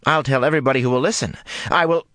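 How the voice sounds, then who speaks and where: A man's voice: mid-range voice, steady tone, racing, bright, forceful tone, no echo, studio quality, pitched above the performer's own voice, close to an everyday voice. A man reading an audiobook, putting on a fiery, teasing young woman who scolds and jokes by turns.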